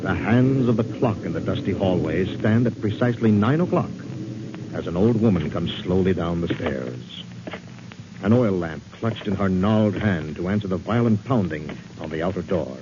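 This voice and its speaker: A man's voice: low voice, expressive, normal speed, noisy background. A man narrating a suspense story in dramatic 1940s radio style. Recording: muffled old radio recording, loud music.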